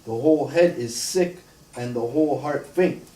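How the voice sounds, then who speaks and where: A man with an ordinary mid-range voice reads old scripture verses slowly and evenly. He sounds distant, with the echo of a roomy space around him. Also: mid-range voice, mellow. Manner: slow, measured reading of scripture. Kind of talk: scripture reading and casual chat. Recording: echoey room from far off.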